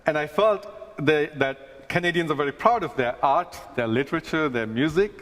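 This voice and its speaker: A man from Sri Lanka gives an earnest science talk on a conference stage. His voice sits a bit high and carries a long hall reverb. He speaks thoughtfully, with some fillers and restarts. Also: moderate pace, fairly bright tone. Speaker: astronomer giving a talk. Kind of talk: stage talk then casual Q&A.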